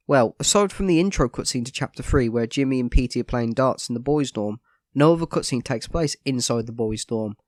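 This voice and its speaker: A man's voice: mid-range voice, darkish tone, no echo. A man with a cold and a stuffy nose, giving a scripted, matter-of-fact voice-over analysis of a video game.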